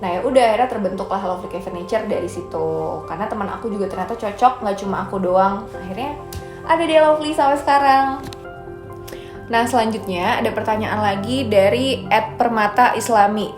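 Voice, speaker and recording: Young woman, twenties, chatty, upbeat, mid-pitched voice, echoey room. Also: brisk. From Indonesia. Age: 20s. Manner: chatty, upbeat, mixes in English phrases. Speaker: brand founder answering viewer questions. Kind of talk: casual chat. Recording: echoey room with soft music.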